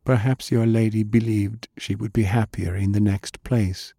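A man voicing a calm, formal ruler of an empire who speaks slowly and coldly in a storybook reading. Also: on the low side, no echo, clean studio sound. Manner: measured, formal. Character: calm powerful leader, formal and cold.

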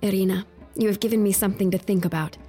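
A woman's voice: medium pitch, fairly even intonation, fast talker, dark tone, faint music behind, lightly acted. A woman playing a spirited young heroine. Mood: earnest. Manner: spirited, wry, determined. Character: bold young woman from Constantinople. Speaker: voice actor in an audio drama. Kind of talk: audio drama acting.

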